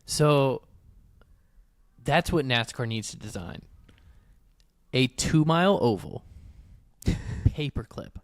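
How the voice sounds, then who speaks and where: Medium-pitched male podcaster, thinking aloud. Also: lively intonation, slow, pauses often, no echo. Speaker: podcast co-host. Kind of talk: casual motorsports discussion.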